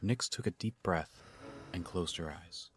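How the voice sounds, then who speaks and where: A man narrating an audio drama as a measured storyteller. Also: sits low. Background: orchestral score music.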